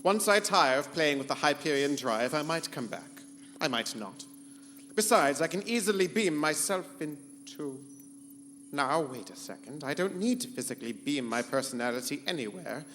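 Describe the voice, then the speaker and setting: Casting: male actor as rogue spaceship computer, haughty and scheming, higher-set theatrical delivery with swooping intonation, live hall. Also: animated, normal speed, fairly bright tone. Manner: pompous, theatrical, formal wording. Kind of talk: live comedic sci-fi radio play in 1940s serial style. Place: convention hall stage before live audience. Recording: echoey live hall, humming background noise.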